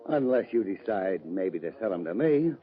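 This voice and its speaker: Swaggering, drawling Western cattle baron. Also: male voice, deep voice, very animated, moderate pace. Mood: smug menace. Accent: American Western drawl. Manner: folksy, smug, threatening drawl. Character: domineering, greedy cattle baron, self-styled king. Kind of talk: old-time radio western acting. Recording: dull vintage radio recording.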